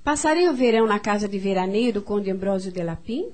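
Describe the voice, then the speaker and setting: A woman's voice: mid-range voice, animated, brisk, background noise, natural voice. A woman acting an elegant, sociable society hostess aunt in a radio drama, with a Brazilian accent and an effusive, genteel manner. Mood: eager. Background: music.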